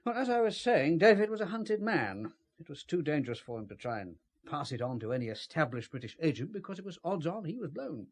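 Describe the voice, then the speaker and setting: Cagey british spy boss, husky, lots of up and down, polite but menacing, radio play style. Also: male voice, a little high, very animated, a little fast, few pauses. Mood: smooth, calculating. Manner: evasive, understated, formal. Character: cagey, ruthless male British spymaster, smoothly menacing. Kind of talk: audio drama acting.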